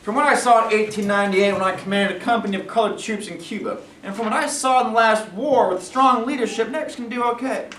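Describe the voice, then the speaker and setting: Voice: mid-range voice, fast talker, hardly any pauses, fairly bright tone, close to an everyday voice. A performer playing a governor's earnest, stubborn son in a staged play reading. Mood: persuasive. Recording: echoey room, distant, faint noise.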